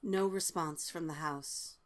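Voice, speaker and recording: A woman's voice: low-pitched, slow pace, a little hiss or hum. A woman narrating stage directions from a script at a table read.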